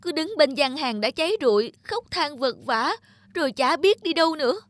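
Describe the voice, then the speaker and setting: A woman's voice: high voice, animated, normal speed, no echo. A woman playing a distraught, crying sister-in-law in a cartoon. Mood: distraught, sobbing. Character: distraught sister-in-law begging family for help after ruin.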